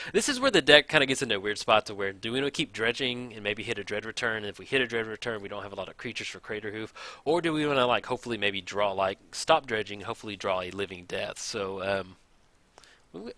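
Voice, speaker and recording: A man casually commenting on a card game match, thinking aloud, his voice a little raspy from hours of recording. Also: lowish voice, no room sound. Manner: chatty, thinks aloud, counts out loud. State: slightly raspy from long recording. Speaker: gameplay commentator.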